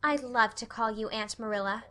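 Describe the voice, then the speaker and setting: A woman's voice: high-pitched, expressive, normal speed, pushed and bright, voice pushed up. A woman voicing an earnest, dreamy young orphan girl in an audiobook, effusive and imploring. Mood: wistful. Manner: effusive, wordy, dramatic. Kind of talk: audiobook character voice.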